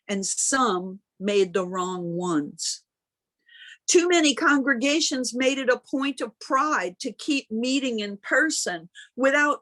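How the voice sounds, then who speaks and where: Slow, high-voiced woman preaching. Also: a bit bright. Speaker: minister preaching. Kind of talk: sermon. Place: online service over video call. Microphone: video call.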